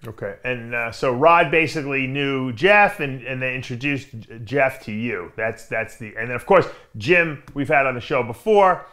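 A middle-aged man hosting a video interview show from a studio, asking questions with lots of quick interjections. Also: mid-range voice. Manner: energetic, interjecting often. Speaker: host. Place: studio with branded backdrop.

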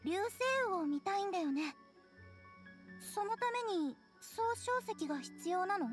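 A gentle little anime game girl. The pitch is extremely high and the delivery soft and hesitant. She sounds earnest and fragile, over faint music. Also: animated, a little slow. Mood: gentle, sincere.

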